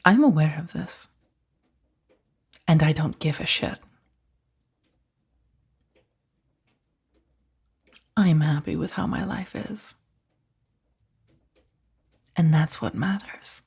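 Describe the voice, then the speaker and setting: A woman playing a powerful, coolly amused mafia boss in a soft-spoken ASMR roleplay, unhurried and condescending, with a breathy, husky voice. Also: deep voice, full character voice. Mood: menacing. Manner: unhurried, velvety menace with condescending endearments.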